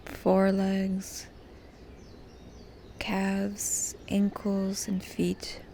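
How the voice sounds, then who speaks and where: Slow, soft-spoken woman guiding meditation. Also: mid-range voice, a little hiss or hum. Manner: calm, gentle, pausing between short phrases. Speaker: guided meditation host. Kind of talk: guided meditation, soft slow soothing delivery.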